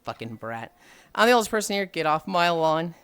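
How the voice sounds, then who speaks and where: Tired, sardonic woman streamer, lively pitch. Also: fairly quick, smooth, no echo. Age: 30s. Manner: sighing, sardonic, swears freely. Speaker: livestreamer. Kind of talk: casual stream chat.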